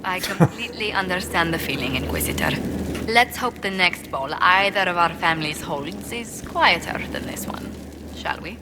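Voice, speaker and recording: An actress playing a refined, wistful noblewoman diplomat in a video game, speaking in a formal, measured way. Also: on the low side, lively intonation, background noise, lightly acted. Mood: reflective concern. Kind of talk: video game character dialogue.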